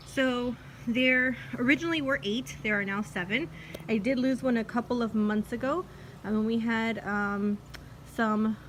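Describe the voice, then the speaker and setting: A woman who is losing her voice, a hoarse orchid grower chatting casually outdoors with lots of ums and uhs. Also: on the high side, slight background noise, rough recording. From Florida. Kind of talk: casual vlog walkthrough. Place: outdoors in a chilly backyard.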